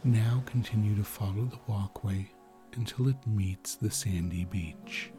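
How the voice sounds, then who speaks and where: Slow, low-voiced man guiding sleep meditation. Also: warm tone, faint music behind. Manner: slow, soothing, with long pauses. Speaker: guided meditation narrator.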